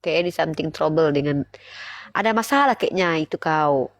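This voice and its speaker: A woman's voice: sits low, expressive, moderate pace, no echo, studio quality. A woman, speaking didactically in a live online religious debate and putting rhetorical questions to listeners. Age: 30s.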